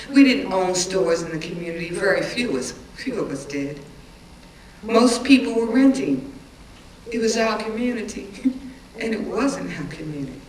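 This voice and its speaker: A woman performing a dramatic stage reading as a middle-aged witness recalling hard times, pained and resolute, with emphatic, deliberate storytelling. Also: mid-range voice, lightly acted. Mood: frustrated, grieving. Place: live reading venue, indoors. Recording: roomy, distant live-event recording with audience noise.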